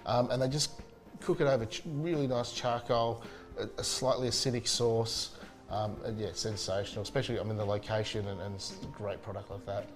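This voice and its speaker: A man's voice: lowish voice, fairly even intonation, a little fast, music in the background. A man, a chef chatting casually and enthusiastically in an interview, with many fillers and restarts. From Spain.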